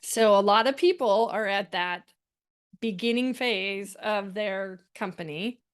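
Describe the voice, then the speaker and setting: Chatty woman host, mid-pitched voice, laughs between her interview questions. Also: unhurried, clean tone. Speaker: podcast host. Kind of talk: casual interview chat.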